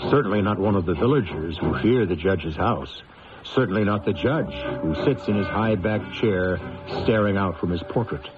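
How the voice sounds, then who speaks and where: Man, radio mystery host, deepish voice, ominous theatrical narration, dull vintage sound, music behind. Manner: measured, ominous, dramatic.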